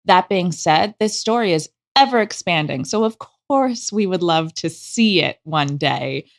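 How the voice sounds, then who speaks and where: A woman's voice: lowish voice, lively intonation, measured pace, creaky. A woman speaking as a creative guest in an interview, explaining things emphatically and with enthusiasm. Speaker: guest, audio drama creator.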